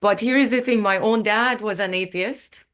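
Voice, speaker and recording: A woman's voice: medium pitch, normal speed, fairly bright tone. A woman, a Farsi speaker, talking as a guest in a casual interview. She explains things earnestly, with many fillers and restarts. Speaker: guest, yoga and Ayurveda practitioner.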